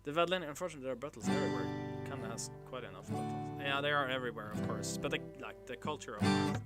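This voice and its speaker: A man from northern Norway, hosting a casual livestream and chatting with viewers in a halting way, full of filler sounds and restarts. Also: medium pitch, expressive, loud music behind the voice. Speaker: livestream host. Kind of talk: casual livestream chat, reading chat messages.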